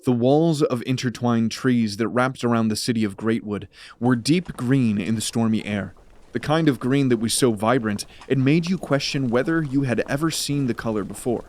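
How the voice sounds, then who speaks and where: A man's voice: medium pitch, moderate pace, a little mellow. A man narrating a fantasy audio drama as a scripted, dramatic story.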